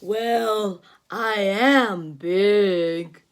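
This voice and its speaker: A woman voicing a huge, lonely, gentle male whale in a children's picture book read-aloud, speaking slowly and in a hushed, low tone.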